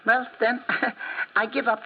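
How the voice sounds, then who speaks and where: An actor playing a tiny, excitable gossiping shopkeeper who stammers and repeats himself in an old radio drama. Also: male voice, very high-pitched, slow, full character voice. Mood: eagerly prying. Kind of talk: 1940s radio acting. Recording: muffled noisy old radio recording.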